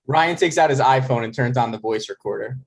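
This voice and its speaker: A man's voice: mid-range voice, brisk. A man reading out stage directions as scripted narration for a table read.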